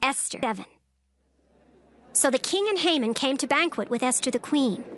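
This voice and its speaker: A woman narrating a dramatized scripture recording in a measured, solemn storytelling manner. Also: high voice, expressive, a bit bright, slight background noise. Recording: narration over clear background music. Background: background music.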